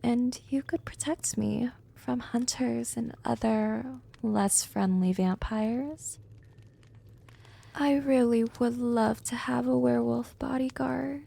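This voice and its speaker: A woman acts as a seductive vampire hypnotist in an ASMR roleplay. Her voice is fairly high yet mellow and soft, with frequent whispers. She speaks very unhurriedly, teasing and coaxing with gentle, smug control. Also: fairly even intonation, slow, exaggerated voice. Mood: earnest, coaxing. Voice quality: soft, breathy, often dropping into whispers. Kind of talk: ASMR roleplay, intimate monologue.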